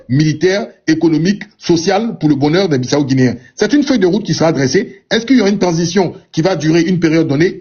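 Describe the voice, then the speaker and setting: A man with a husky, fairly high voice gives a measured commentary, asking himself rhetorical questions and answering them. The sound is dull and lo-fi. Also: darkish tone. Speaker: political commentator. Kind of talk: call-in radio debate.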